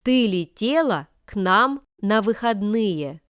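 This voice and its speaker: A woman reads short example sentences for a language lesson. Her delivery is slow and deliberate, with every word carefully formed. The voice is crisp and smooth, in a dry recording with no room sound. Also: mid-range voice, animated, a bit bright, no room sound. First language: Russian. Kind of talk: scripted example sentences read for learners.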